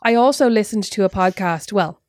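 A woman's voice: medium pitch, brisk, a little mellow, dry recording, clean studio sound. An Irish woman co-hosting a podcast, chatting in a rambling, self-mocking way. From Ireland. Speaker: podcast co-host. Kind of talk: casual chat.

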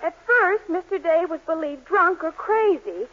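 An actress playing a sweet, patient young girlfriend in a 1940s radio sitcom, gentle and a little wistful. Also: very high-pitched, expressive, a little slow, very noisy. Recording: dull 1940s radio broadcast recording.

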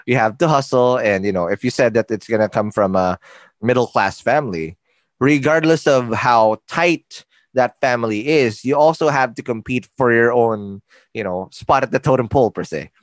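A man with a Filipino accent hosting a casual interview podcast, playful and energetic, laughing often. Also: mid-range voice, moderate pace, no echo. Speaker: podcast host.

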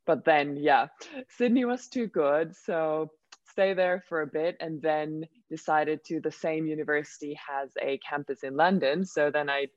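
A woman's voice: sits low, unhurried, no echo. A young woman from Finland, Swedish her first language, chats as an interview guest and strings stories together with easy "like"s and "um"s. Her voice comes through a muffled, lo-fi call line. The sound is dry and close, with no room around it. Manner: chatty, storytelling, frequent "like" and "um". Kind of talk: casual interview chat.

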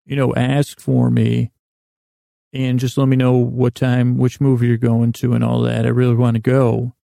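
An American man in middle age delivers a wandering bedtime podcast monologue. His voice is mellow and deeply creaky, recorded dry and close to the ear. He meanders, repeats words and lets thoughts trail away. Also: monotone, moderate pace, no room sound. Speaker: podcast host.

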